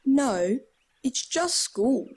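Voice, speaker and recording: A woman's voice: sits high, sing-song, drawn-out words, darkish tone, husky, exaggerated voice. A woman playing a wry, sensible Australian schoolchild in a nostalgic radio play. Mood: questioning. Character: wry, sensible school-age child, gently teasing.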